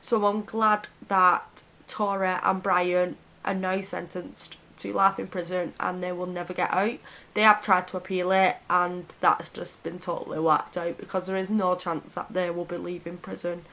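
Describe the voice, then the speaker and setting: A woman telling a true crime story to camera in an earnest, heartfelt way. Her voice is hoarse and sniffly from a cold. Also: on the low side, flat delivery, moderate pace, a bit bright, rough recording.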